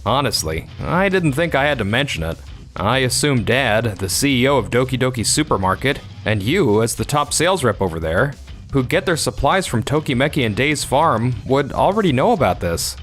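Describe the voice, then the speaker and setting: A man's voice: mid-range voice, big pitch swings, moderate pace, a bit bright, faint music behind. A man playing a polite, unassuming farmer son in a manga dub, speaking in an earnest, matter-of-fact way. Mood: calm, firm. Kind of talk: manga dub narration and dialogue.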